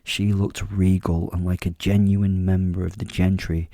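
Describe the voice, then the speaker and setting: A man playing a suave, vain werewolf killer who narrates a horror audio drama in a measured, smug, darkly humorous way. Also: sits low, flat delivery, dark tone, dry recording, clean studio sound. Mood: amused, self-satisfied.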